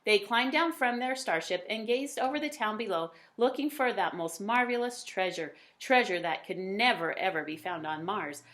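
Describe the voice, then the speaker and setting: A woman reading a children's picture book aloud, with dramatic emphasis and drawn-out words. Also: medium pitch, a bit bright. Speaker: read-aloud storyteller.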